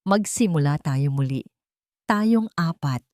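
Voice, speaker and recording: A woman's voice: deep voice, animated, slow pace, a little mellow, dry recording, clean studio sound. A woman narrator voicing a remorseful, weak-willed rich man in a story, pleading for forgiveness.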